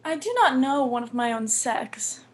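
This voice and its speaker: A woman acts a devoted young maiden in a Shakespearean romance. The voice is high, with a tender, earnest delivery. The maiden is innocent and modest, yet forthright in love. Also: lively intonation, normal speed. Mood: shy, confiding. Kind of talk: Shakespearean stage acting.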